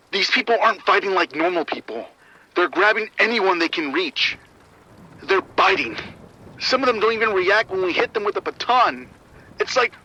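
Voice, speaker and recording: An actor playing a shaken security officer giving urgent, grim reports over radio in a horror audio drama. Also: male voice, sits high, pushed and bright, exaggerated voice. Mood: alarmed. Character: shaken male arena security officer reporting a violent outbreak. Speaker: security supervisor. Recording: muffled, band-limited radio transmission.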